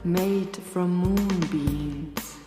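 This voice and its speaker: A woman's voice: fairly low pitch, extremely slow. A woman playing a cool, languid beatnik in a spoof radio commercial. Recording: echoey, loud music over voice.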